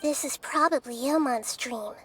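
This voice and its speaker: A woman voicing a wise, gentle child-sized goddess in a video game, soft and girlish, speaking in a measured, reflective way. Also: high voice, very noisy, lightly acted. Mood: composed. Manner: measured, reflective, explains with analogies.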